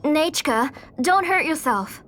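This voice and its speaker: A woman voicing an anxious, doting, fussy mother in a video game, caring and gently chiding. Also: high voice, lively intonation, slow pace, a little hiss or hum, exaggerated voice. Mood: worried, chiding.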